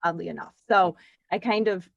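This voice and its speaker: A woman in her forties from Connecticut, chatting as a podcast guest in a lively, talkative way with frequent laughs and filler words. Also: on the low side, moderate pace, gravelly, dry recording, highs cut off. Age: 40s. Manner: chatty, animated, laughs often, lots of "like". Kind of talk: casual interview chat.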